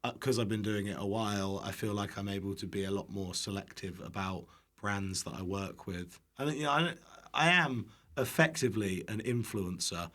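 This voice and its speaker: A man's voice: on the low side, normal speed, hardly any pauses, fairly bright tone. A British man, an online cooking creator and podcast guest, chats casually in a jokey, self-deprecating way, with casual swearing. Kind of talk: casual chat.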